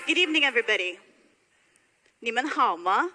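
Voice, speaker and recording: High bright woman from somalia giving a speech, punchy and repetitive, big echoey hall, faint music behind. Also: lively intonation, fairly quick. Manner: emphatic, rhetorical repetition. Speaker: speaker addressing graduates. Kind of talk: public speech.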